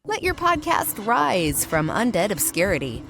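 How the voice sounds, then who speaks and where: A woman's voice: medium pitch, wildly swooping pitch, unhurried, very noisy. A woman announcer delivering a scripted podcast advertisement. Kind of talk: scripted ad read.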